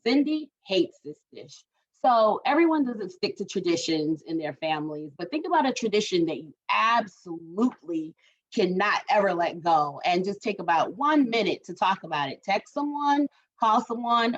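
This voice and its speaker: A woman's voice: medium pitch, muffled recording. Woman hosting a chatty video call. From Barbados. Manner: chatty and encouraging, reads the chat aloud. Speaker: workshop facilitator. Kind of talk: online group workshop hosting.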